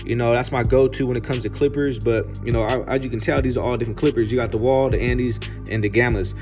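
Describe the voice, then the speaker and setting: A man's voice: medium pitch, steady tone, quick pace, music in the background. Laid-back young male barber, casual chat. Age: young adult. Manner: laid-back, slangy, repeats "you know". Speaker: barber and vlogger. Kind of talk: casual product tour chat. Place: barbershop, indoors.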